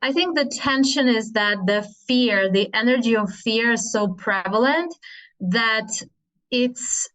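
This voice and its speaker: A woman's voice: a little high, slow pace, poor audio. Russian-accented woman, thoughtful guest. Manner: thoughtful, with frequent restarts and soft laughs. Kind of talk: casual spiritual conversation.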